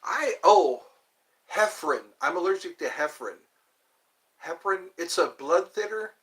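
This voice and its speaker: A man in his fifties chats with his livestream viewers, wandering from one topic to the next. He jokes at his own expense in a loose, rambling way. His pitch moves up and down freely as he talks. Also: lively intonation, normal speed, fairly bright tone. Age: 50s. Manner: rambling, joking, self-deprecating. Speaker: livestream host. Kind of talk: casual chat answering viewers.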